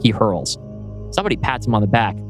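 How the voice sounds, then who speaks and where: A man with a lowish voice reads a screenplay as narrator, setting scenes in a dramatic storytelling style. Music plays clearly behind him throughout. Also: big pitch swings, fast talker. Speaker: writer and narrator presenting a script.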